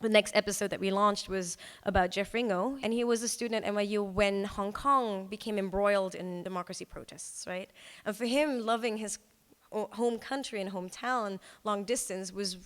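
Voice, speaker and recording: A woman's voice: medium pitch, fairly even intonation, moderate pace. Female presenter, conversational talk delivery, informal asides, long hall reverb. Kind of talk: conference talk presenting a storytelling project.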